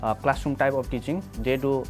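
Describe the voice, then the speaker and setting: A man's voice: medium pitch, steady tone, brisk, a little mellow. A man with a Nepali accent, a student giving a promotional testimonial. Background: clear background music.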